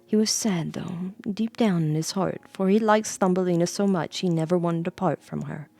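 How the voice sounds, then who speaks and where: Casting: woman storyteller, slightly low creaky voice, soft ASMR bedtime reading with playful chat asides, dry close sound. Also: moderate pace. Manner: playful, rambling asides to viewers.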